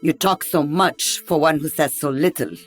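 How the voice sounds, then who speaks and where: A woman's voice: sits low, moderate pace, soft background music. A woman playing an older veteran sniper in a video game, wry and teasing. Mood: wry, confident.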